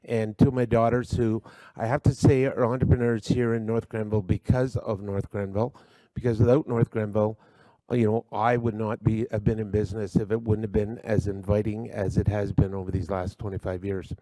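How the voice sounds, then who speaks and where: A man's voice: lowish voice, flat delivery, brisk, warm tone, dry recording, low-quality recording. A middle-aged man giving a humble award acceptance speech at a podium microphone, with many fillers. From Canada. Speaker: award recipient. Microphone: podium microphone, spoken into closely.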